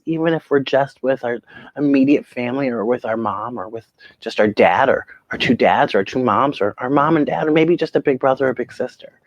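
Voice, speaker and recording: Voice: medium pitch, dry recording, dull audio. A children's show host talking to young viewers in a theatrical, emphatic storytelling way, drawing out words.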